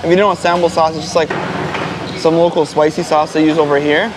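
A man's voice: fairly high pitch, flat delivery, fast. A man from the United States vlogging casually on a busy street, explaining local food and words to his viewers. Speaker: travel vlogger. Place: busy city street with traffic. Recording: street noise and traffic behind.

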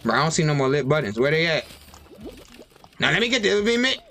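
Young male streamer, casual and excitable, wildly varied pitch, desk mic. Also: quick pace, faint music behind. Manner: excitable, yelps high and loud at the game; slangy, talks to himself. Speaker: gaming streamer. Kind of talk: casual gameplay commentary. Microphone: desk mic with webcam.

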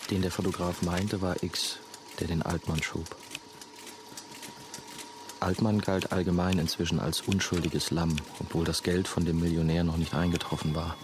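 An actor playing a brooding monastery novice who narrates his own story in a measured, musing, dry way. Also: low voice, little pitch movement, noisy background, lightly acted. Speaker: narrator and main character. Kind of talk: first-person radio play narration.

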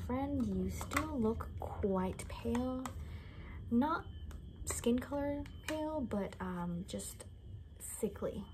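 A young woman doing an improvised ASMR roleplay in a soft, often whispered voice, rambling and repeating words in a hushed, unhurried way. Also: on the high side, noisy background. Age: young adult. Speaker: ASMR creator playing a caretaker. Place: small bedroom, indoors.

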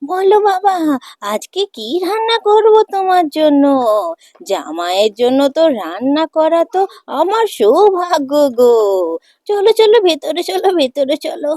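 A woman's voice: very high-pitched, big pitch swings, unhurried, a little mellow, dry recording, studio quality, full character voice. A woman voicing fussing, affectionate village family women in a cartoon folk tale. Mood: doting, welcoming. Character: doting, fussing mother-in-law and her chiding married daughter.

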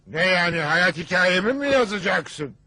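A man's voice: sits high, sing-song, moderate pace, sharp tone, exaggerated voice. A man playing a grumpy, stingy middle-aged father in a dubbed cartoon, scolding and sarcastic. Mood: irritated, sarcastic.

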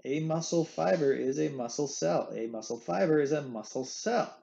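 A man's voice: a little high, moderate pace, vocal fry, warm tone, dull audio. A man teaching anatomy over recorded slides, a playful, jokey explainer who leans on candy analogies, recorded on a computer mic at his desk. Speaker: anatomy instructor. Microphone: screen recording with webcam.

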